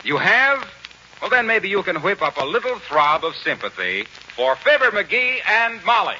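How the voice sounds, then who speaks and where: A man's voice: high voice, big pitch swings, moderate pace, bright, forceful tone. An American man announcing in a scripted 1940s radio style. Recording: muffled, faintly noisy 1940s radio recording.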